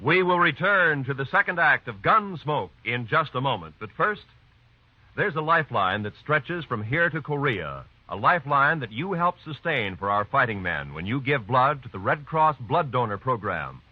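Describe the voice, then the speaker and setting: An American man announcing in 1950s radio style, with lively, swooping intonation. His voice is dry and close, on a dull vintage recording. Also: medium pitch, moderate pace, dry recording.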